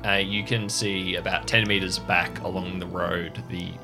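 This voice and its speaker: A man with a fairly low voice acts as game master. He narrates scenes and rules in a measured, descriptive style, with music playing behind him. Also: little pitch movement.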